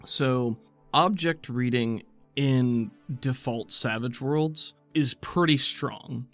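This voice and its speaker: A man with an American accent talking casually as a game master, explaining rules and narrating in a measured, conversational way. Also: mid-range voice, fairly bright tone, faint music behind. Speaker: game master and podcast host.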